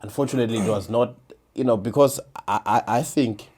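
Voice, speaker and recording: A man's voice: mid-range voice, fairly quick, a little mellow. A man, a podcast guest chatting casually and often restarting and repeating words. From South Africa, KwaZulu-Natal. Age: 30s. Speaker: podcast guest, former defense attorney. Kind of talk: casual interview chat.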